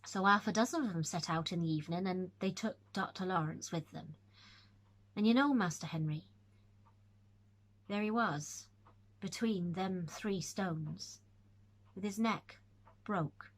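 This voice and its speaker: A woman voicing an old rustic male servant who tells a grim tale in dialect, hushed and earnest. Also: lowish voice, measured pace, pauses often.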